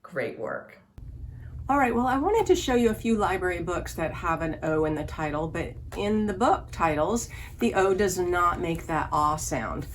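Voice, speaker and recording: A woman's voice: fairly low pitch, animated, moderate pace, slight background noise. A woman leading an online story time for young children as a librarian, giving simple instructions and asking them questions. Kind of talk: children's online lesson with songs.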